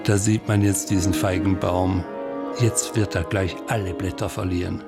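A man's voice: deep voice, fairly even intonation, moderate pace, loud music behind the voice. A man giving personal spoken commentary in short, plain phrases, reflective in manner.

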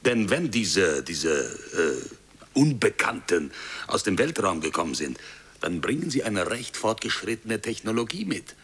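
An actor playing a thoughtful, sceptical moon station researcher in a science fiction radio play, reasoning with some hesitation. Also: male voice, on the low side, very animated, unhurried, fairly bright tone, slightly rough, full character voice. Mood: pondering. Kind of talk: dramatic radio play dialogue.

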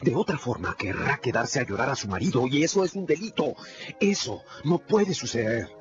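Resolute lawyer played by a man, dramatically expressive. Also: medium pitch, moderate pace, natural voice. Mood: urgent, commanding. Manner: imperative, intense, theatrical delivery. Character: resolute self-sacrificing male lawyer, commanding and urgent. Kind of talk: Spanish-language radio drama acting. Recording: dull radio recording with loud music.

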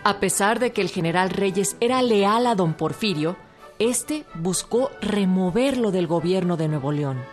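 A woman's voice: mid-range voice, animated, measured pace. A woman with a Mexican accent reading scripted narration for a historical radio documentary. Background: music bed.

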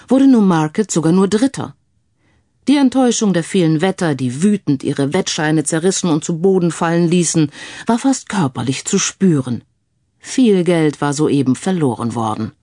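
Female crime story narrator, animated, mellow, recorded dry. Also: low-pitched, no echo.

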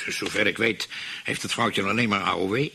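Casting: a hesitant, money-minded husband in a radio play, Netherlands Dutch accent, creaky and bright-edged. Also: male voice, lowish voice, fairly even intonation, a little fast, slight background noise, exaggerated voice. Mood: explaining. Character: spendthrift husband fretting over an aunt's inheritance.

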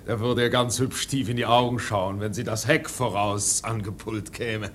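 Seasoned male whaler acted by a man, radio play, stoic, matter-of-fact, lowish voice, faint rumbling noise. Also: fairly even intonation, moderate pace, fairly bright tone, lightly acted. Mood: stoic, dry. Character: seasoned, unruffled male whaling mate. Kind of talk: German radio drama acting.

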